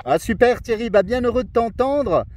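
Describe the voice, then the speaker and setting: A man's voice: very high-pitched, expressive, normal speed, noisy background. A man chatting casually as an amateur radio operator, in a friendly, rambling, repetitive way. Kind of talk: casual radio contact chat. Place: outdoors in a forest area, mobile radio.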